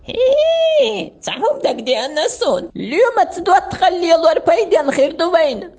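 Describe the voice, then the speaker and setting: A man dubbing an excitable, wisecracking little meerkat in an animated film, exclaiming as he talks. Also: very high-pitched, takes it slowly, heavily acted. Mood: agitated, amused.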